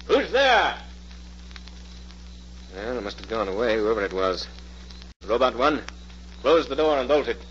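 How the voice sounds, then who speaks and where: An actor playing a cruel, commanding, megalomaniac professor in a pompous, declamatory 1940s radio thriller style. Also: male voice, on the low side. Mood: suspicious. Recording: muffled, noisy old radio recording.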